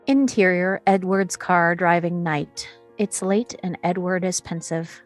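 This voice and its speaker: A woman's voice: on the low side, measured pace, faint music behind. A woman narrating scene headings and action descriptions as scripted narration in a table read.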